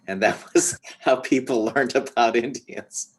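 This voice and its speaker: A man's voice: medium pitch, measured pace, husky. A middle-aged man from the American Midwest, interviewing a guest in a friendly, easygoing way with fillers. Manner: friendly, laughs easily, fillers. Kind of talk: interview conversation.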